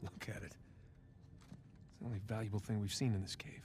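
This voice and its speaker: A man's voice: lowish voice, level tone, normal speed, music in the background, lightly acted. An actor playing a clever, curious male treasure hunter in a video game cutscene. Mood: focused.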